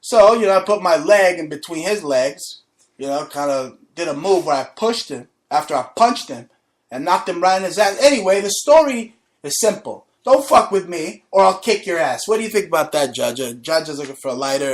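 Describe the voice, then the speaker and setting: A man's voice: a little high, lively intonation, brisk. A man hosting a talk show, ranting aggressively with lots of swearing and telling a boastful story from his school days. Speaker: show host. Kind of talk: profane comedic rant and storytelling.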